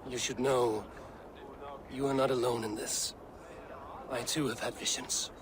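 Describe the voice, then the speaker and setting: An actor playing a loyal, blunt Viking warrior in a video game, earnest and plain-spoken. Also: male voice, mid-range voice, fairly even intonation, unhurried, noisy background, natural voice. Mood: quietly concerned. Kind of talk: dramatic video game acting.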